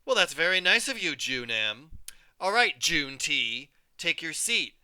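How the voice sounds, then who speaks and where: A man's voice: a little high, sing-song, measured pace, sharp tone, clean tone, dry recording, voice pushed up, heavily acted. A man doing a genial, jovial teacher. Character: genial male schoolteacher.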